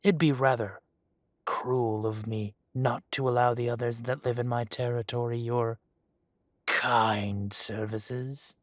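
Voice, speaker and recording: A man playing a slow, silky dragon gang boss. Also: medium pitch, steady tone, dry recording, clean studio sound, close to an everyday voice. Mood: silky menace. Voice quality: soft-spoken, silky and condescending, drops to whispers. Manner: slow, deliberate threats with long pauses. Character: menacing dragon gang boss, adult male. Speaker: audio roleplay performer. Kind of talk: audio roleplay drama.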